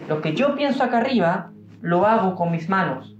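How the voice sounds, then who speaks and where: Young chilean guy preaching casual, thinking out loud, echoey room, kinda dull audio. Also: high voice, animated, noisy background. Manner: reflective, musing, with frequent pauses and fillers. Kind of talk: informal devotional talk. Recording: roomy, dull-sounding room recording.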